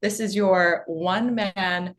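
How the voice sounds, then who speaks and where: A woman's voice: on the low side, steady tone, takes it slowly. A woman hosting a music interview show, putting things to her guest in a conversational style. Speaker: host.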